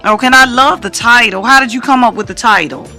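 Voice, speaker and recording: A woman's voice: on the high side, quick pace, bright, forceful tone, soft background music. A woman hosting a casual radio interview, chatty and encouraging as she puts questions to her guest. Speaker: radio show host, interviewer.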